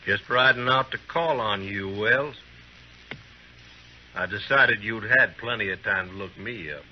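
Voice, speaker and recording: An actor playing a mocking, cocky, menacing gunslinger in a 1950s radio western. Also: male voice, fairly low pitch, unhurried, natural voice. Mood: taunting. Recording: muffled, faintly noisy old radio recording.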